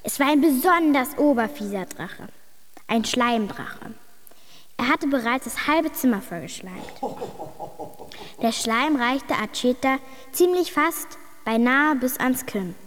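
A woman's voice: fairly high pitch, expressive, measured pace, in a large hall, slight background noise. A woman playing a plucky, imaginative young girl who reads her adventure story earnestly and emphatically in a German radio drama. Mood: dramatic.

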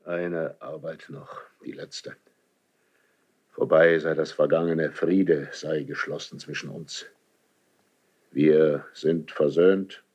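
Deep-voiced, brooding old king. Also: fairly even intonation, takes it slowly, darkish tone, husky, heavily acted. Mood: weary, grave. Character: troubled Spanish king, proud yet weary and guilt-ridden. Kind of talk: classical German radio drama acting. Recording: dull 1970s radio drama recording.

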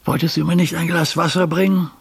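An actor in a radio drama playing a forgetful, bedridden old man, needy and querulous, with a raspy, creaky voice. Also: fairly high pitch, little pitch movement, fairly quick, exaggerated voice. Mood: puzzled, needy. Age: older.

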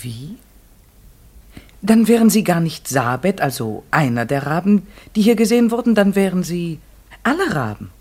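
Earnest, probing radio drama narrator. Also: female voice, lowish voice, lively intonation, darkish tone. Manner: earnest, questioning, measured.

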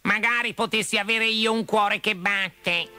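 A man voicing a sad, tender-hearted storybook tin woodman in a thin, sharp, plaintive, tearful voice. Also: sits high, fairly even intonation, moderate pace, soft background music, voice pushed up. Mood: sad, wistful.

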